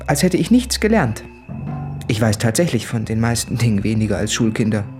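An actor playing an anguished, self-doubting son in a radio play, delivering intimate literary monologue in an earnest, deliberate manner. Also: male voice, fairly low pitch, big pitch swings, over music. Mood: self-abasing.

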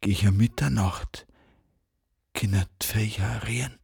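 A man's voice: fairly low pitch, fairly even intonation, measured pace, gravelly, darkish tone, breathy edge, no echo, clean studio sound, heavily acted. An actor playing a mischievous, teasing rural father in a radio play, with a sly manner. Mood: teasing, daring.

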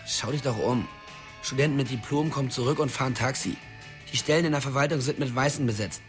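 A man's voice: mid-range voice, quick pace, music in the background, lightly acted. An actor playing a frustrated, bitter, restless young man in a radio drama. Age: young adult.

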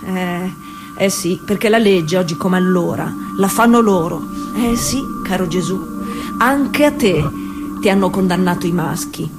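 An actress playing a defiant working-class woman cyclist confiding in Jesus in a colloquial theatre monologue. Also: lowish voice. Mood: bitter, defiant. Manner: confiding, colloquial, with drawn-out pauses and hushed asides. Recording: music playing behind the voice.

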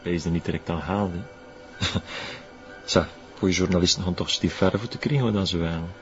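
Guy acting as shifty flirty west flemish informant, lowish voice, bit muffled. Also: fairly even intonation, moderate pace, close to an everyday voice. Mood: sly, teasing. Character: cagey, flirtatious male informant, sly and evasive. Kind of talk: TV drama acting. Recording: muffled, with music behind. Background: music.

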